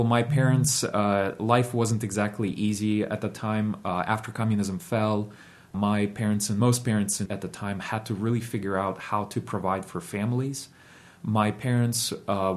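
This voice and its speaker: A man in his thirties, from Ukraine, telling an interviewer about his childhood in a steady, reflective way with frequent ums and uhs. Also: on the low side. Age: 30s. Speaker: guest. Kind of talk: interview storytelling.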